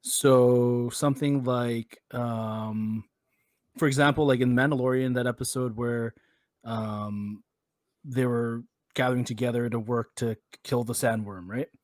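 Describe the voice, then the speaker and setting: A man co-hosting a podcast, talking hesitantly with many pauses and fillers. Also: medium pitch, fairly even intonation, darkish tone, dry recording, clean studio sound. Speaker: podcast co-host. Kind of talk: casual chat.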